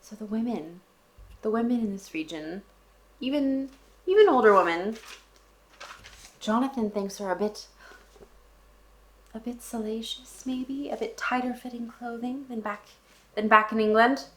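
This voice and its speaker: A woman's voice: a little high, a little slow, long pauses, slight background noise. A woman reading a classic novel aloud and explaining it, in a casual manner with wry asides to the characters. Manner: frequent fillers and restarts, thinking aloud. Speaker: book channel host.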